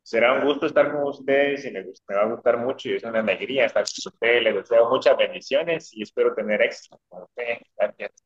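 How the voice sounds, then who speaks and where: A man's voice: mid-range voice, a little fast, hardly any pauses. A man, a catechist, greeting the group formally with blessings over a video call. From Guatemala. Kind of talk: formal church-style greeting. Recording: dull lo-fi video call audio.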